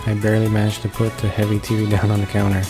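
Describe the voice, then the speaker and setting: Halting, low-key man reading aloud, flat delivery. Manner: halting reading, stumbles and repeats words. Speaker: let's play narrator. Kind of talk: read-along of a visual novel. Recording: voice-over with game music behind.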